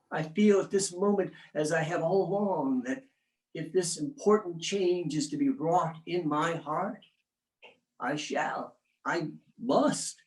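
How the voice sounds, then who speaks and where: Man acting a slow, solemn 19th-century husband. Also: a little high, reverberant, poor audio. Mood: pleading, loving. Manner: grave, formal, deliberate oratory. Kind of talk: dramatic reading of historical letters.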